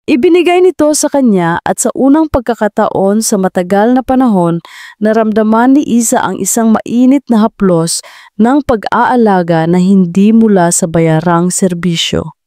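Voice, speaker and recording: A woman narrating a scripted romantic audio drama with a steady storytelling delivery. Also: medium pitch, moderate pace, no room sound, clean studio sound.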